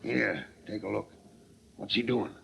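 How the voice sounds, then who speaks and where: Gravelly, blustering old-west sheriff. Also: male voice, lowish voice, measured pace, fairly bright tone, exaggerated voice. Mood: wary, conspiratorial. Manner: folksy western drawl, blustering and shrewd. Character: gruff, suspicious old-west frontier sheriff. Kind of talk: 1930s radio western acting. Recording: crackly noisy 1930s radio recording.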